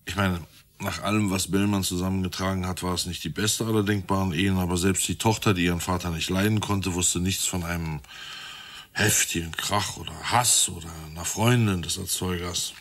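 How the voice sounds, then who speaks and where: Low-voiced, methodical police detective. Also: male voice, fairly even intonation, brisk, a bit bright. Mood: matter-of-fact. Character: methodical, dryly reasoning male police detective. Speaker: actor in a crime radio play. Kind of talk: naturalistic radio drama dialogue.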